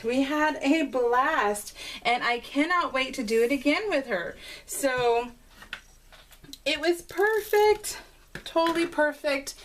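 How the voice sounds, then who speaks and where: Giggly, chatty woman with a higher voice. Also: fairly bright tone, a little hiss or hum. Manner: chatty and giggly, rambling with frequent laughs. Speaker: scrapbooking video creator. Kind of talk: casual voice-over while crafting.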